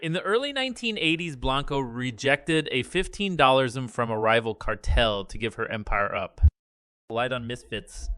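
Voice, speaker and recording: A man reading a scripted news report in a measured, even delivery. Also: medium pitch, no echo. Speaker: news narrator.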